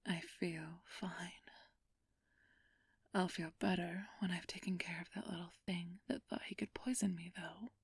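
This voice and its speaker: A woman playing a flirtatious, haughty ancient vampire thief in a whispered ASMR roleplay, teasing and condescending with a drawn-out purr. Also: fairly low pitch, steady tone, no echo, full character voice. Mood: weakened, composed. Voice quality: breathy whisper.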